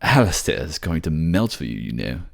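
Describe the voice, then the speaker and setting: A man's voice: rumbling low, very animated, normal speed, vocal fry, full character voice. A man playing a flamboyant, cheeky, affectionate nobleman in a fantasy audio drama, effusive and teasing. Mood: tender, cheeky. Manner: effusive, teasing, calls listener pet names.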